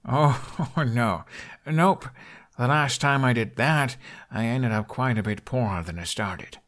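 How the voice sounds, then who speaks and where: A man's voice: medium pitch, takes it slowly, a bit bright, voice pushed up. A man voicing a wry, cynical young dragon rider in an audiobook, dry, sarcastic and a little weary. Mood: wary sarcasm. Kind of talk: audiobook character voice.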